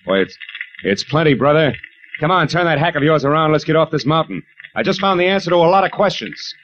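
An actor playing a wry, world-weary private detective with an American accent and clipped, slangy delivery, in 1940s radio drama style. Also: male voice, fairly high pitch, animated, fast talker, a bit bright, a little hiss or hum. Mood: urgent. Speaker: lead actor and narrator. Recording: muffled old radio recording.

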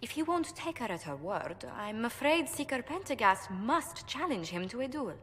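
Poised fantasy ambassador: smooth, diplomatic, gracious; game audio with long reverb. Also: female voice, on the high side, big pitch swings, moderate pace. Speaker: game character.

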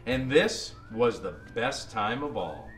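A man reading a children's picture book aloud in an expressive, dramatic and deliberate way. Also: mid-range voice, roomy sound, faint music behind. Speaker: storyteller.

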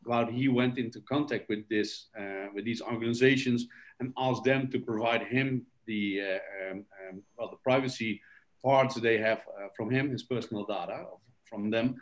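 A man's voice: medium pitch, fairly even intonation, moderate pace, poor audio. A man talking on a video-call panel, hesitant with many fillers. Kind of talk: casual panel talk.

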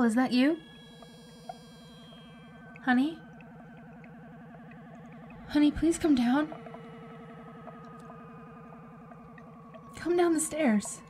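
A woman's voice: high voice, a little mellow, slight background noise. A woman playing a wry, emotional heroine kept as a living head in an audio drama, in naturalistic, pointed dialogue. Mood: pleading.

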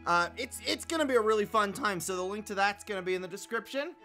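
A woman's voice: medium pitch, lively intonation, fast talker, bright, forceful tone, soft background music. A woman hosting her channel with a casual, chatty introduction. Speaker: channel host and voice actor.

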